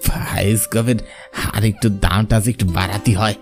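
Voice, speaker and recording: A man's voice: on the low side, flat delivery, fairly quick, soft background music, close to an everyday voice. An actor voicing a plain-spoken, emphatic village man in a cartoon. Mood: reluctant bargaining.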